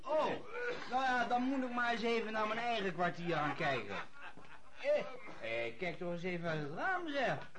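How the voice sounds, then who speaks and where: An actor playing a jovial, worldly French army captain in a radio drama, expansive and halting, with many little interjections. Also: male voice, high-pitched, sing-song, a crowd in the background.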